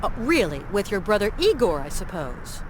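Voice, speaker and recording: An actress playing a plucky, level-headed adventure heroine in a video game, with a natural, direct delivery. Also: female voice, mid-range voice, big pitch swings, moderate pace, slight background noise, natural voice. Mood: firm.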